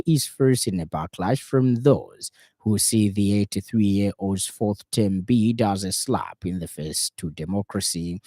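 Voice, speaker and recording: A man's voice: on the low side, mellow, no room sound, studio quality. A man with a Zambian accent reading a scripted radio news bulletin as the presenter. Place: radio studio in Lusaka.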